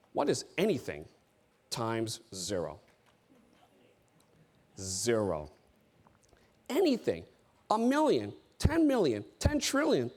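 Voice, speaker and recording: A man's voice: a little high, darkish tone. A middle-aged man from the United States giving an emphatic seminar talk on board service, repeating his key phrases.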